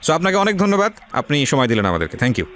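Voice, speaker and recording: Brisk, higher-voiced Bangladeshi male radio interviewer. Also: sing-song, fairly bright tone. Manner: persistent, questioning, measured. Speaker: radio show host interviewing. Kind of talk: probing interview. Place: radio studio.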